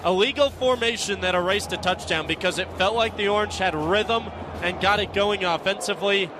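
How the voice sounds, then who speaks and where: A man's voice: high voice, fairly quick, noisy background. A man giving conversational color commentary as an analyst on a football broadcast.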